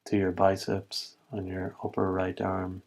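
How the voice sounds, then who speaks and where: A man with a low voice guides a body scan meditation. His delivery stays flat and even, with little rise or fall. He speaks as a calm instructor, setting out each instruction in short, measured phrases with space between them. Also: gravelly.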